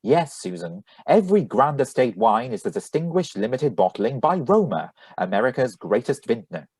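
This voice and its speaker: A man playing a suave, husky 1940s radio announcer delivering a sponsor pitch. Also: very animated, normal speed, a little mellow, dry recording, clean studio sound. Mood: genial, salesmanlike.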